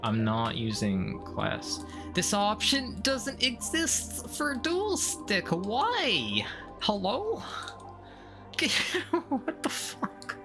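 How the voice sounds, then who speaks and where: Male game streamer, unhurried and medium-pitched, nitpicky and sweary, rambling casually over prominent game music. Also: huge pitch swings. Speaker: streamer playing a video game. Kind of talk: casual gameplay commentary. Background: video game music.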